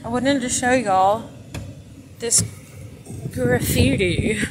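Slow-talking woman on phone video. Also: fairly high pitch. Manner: slow, offhand, cursing and laughing. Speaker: vlogger exploring. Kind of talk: casual handheld vlog narration. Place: outdoors in open desert. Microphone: phone camera held by the speaker. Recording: outdoor phone audio with clear wind noise.

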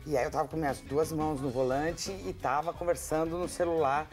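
A woman's voice: sits low, steady tone, fairly quick, darkish tone, faint music behind. A woman in her fifties with an Italian accent, a journalist guest joking dryly about herself on a TV talk show in a studio. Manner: dry, self-mocking humor. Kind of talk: casual talk-show chat. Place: bright TV studio set. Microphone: lapel mic.

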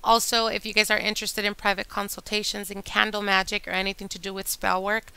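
A woman reading tarot cards for her channel, chatty and addressing viewers directly, her voice a little hoarse from a long day. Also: mid-range voice, little pitch movement, fast, no echo. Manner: chatty, warm address to viewers, whispers during shuffling. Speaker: tarot reader. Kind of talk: casual tarot reading monologue. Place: indoor table with candles and decor.

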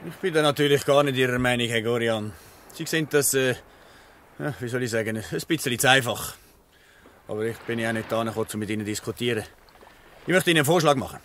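A smooth-talking, calculating company boss, acted by a man in a Swiss German radio drama. The voice has a middle pitch, and there is faint noise behind it. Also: fast, a bit bright, natural voice. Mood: persuasive, condescending. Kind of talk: dialect radio play acting.

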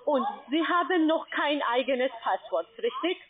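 High-voiced woman on a tinny phone line. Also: animated, measured pace. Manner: instructing step by step, broken grammar. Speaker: scam call center agent. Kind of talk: phone call.

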